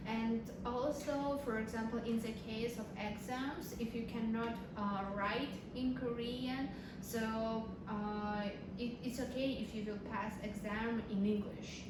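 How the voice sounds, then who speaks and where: A young woman from Russia, an international student, chatting casually in a hesitant, unhurried way with many fillers. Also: a little high, fairly even intonation. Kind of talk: casual chat. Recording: echoey room, far from mic, noisy.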